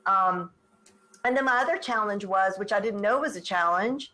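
A woman's voice: medium pitch, moderate pace, pushed and bright, rough recording. A woman guest on a podcast who creates online courses, talking in a chatty way with frequent ums and uhs. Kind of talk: podcast interview.

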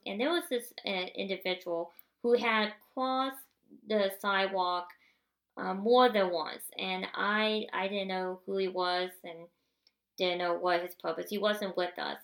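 A woman's voice: mid-range voice, a bit bright. A woman hosting a podcast, telling a personal story in a conversational, self-correcting way that builds to indignant emphasis. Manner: conversational, with repeated restarts, rising to indignant emphasis. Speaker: podcast host. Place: at home, seated in a wheelchair.

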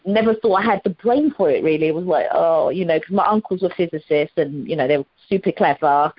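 A woman's voice: sits low, fast talker, husky, dry recording. Enthusiastic middle-aged woman, muffled audio. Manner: enthusiastic, frequent fillers and restarts. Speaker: guest, former primary teacher. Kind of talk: casual interview chat.

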